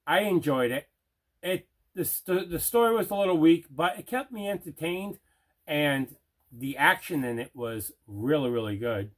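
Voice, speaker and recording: A man's voice: a little high, lively intonation, normal speed. A man hosting a casual unboxing chat, talking with plain, repetitive enthusiasm about items in a collection. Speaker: host of a movie collection unboxing show.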